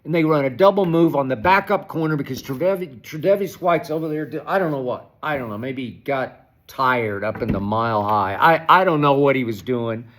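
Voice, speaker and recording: A man's voice: mid-range voice, expressive, a little fast, fairly bright tone. A man hosting a sports talk show, ranting with exasperation, often stopping and restarting. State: hoarse, losing his voice. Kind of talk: animated solo sports commentary.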